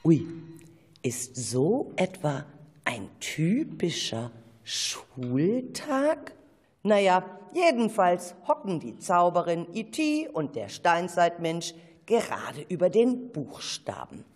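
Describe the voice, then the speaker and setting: A woman with a husky voice narrating a children's radio play like a storybook. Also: fairly low pitch, very animated, slow, darkish tone. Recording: reverberant hall-like sound.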